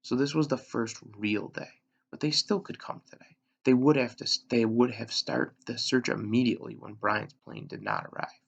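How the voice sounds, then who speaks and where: A man with a gravelly voice reading a children's novel aloud, measured, with dramatic pauses and emphasis. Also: medium pitch, very animated, lo-fi sound. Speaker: elementary school reader, story narrator.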